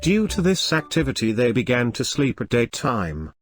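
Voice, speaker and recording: A man's voice: medium pitch, very animated, normal speed. A man narrating a scripted moral story for a cartoon. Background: faint music.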